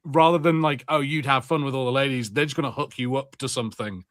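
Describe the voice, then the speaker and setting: A man's voice: mid-range voice, fairly even intonation, fast talker, fairly bright tone, dry recording. Man, casual podcast chat, skeptical tone, hesitant restarts, dry close sound.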